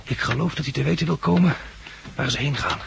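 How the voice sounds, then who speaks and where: Gravelly dutch guy from netherlands, terse resolute survivor leader, old noisy radio play. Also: on the high side, a little fast. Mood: hushed, tense. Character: resolute sighted man leading blind disaster survivors. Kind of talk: 1960s radio drama acting. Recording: noisy, clicky 1960s radio recording.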